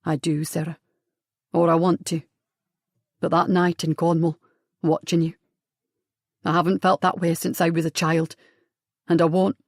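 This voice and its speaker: A woman narrator puts on a tender, wounded young man who speaks low, earnest and halting. His delivery stays flat and even, never lifting much. The sound is dry and close. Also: no echo.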